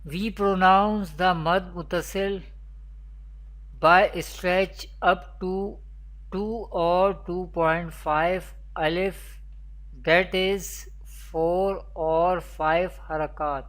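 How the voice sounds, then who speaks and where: Slow-paced teacher. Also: mid-range voice, fairly even intonation, a bit bright, poor audio. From Pakistan. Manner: didactic, repetitive. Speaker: Quran recitation teacher. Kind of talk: scripted online lesson, explaining with repeated questions and answers.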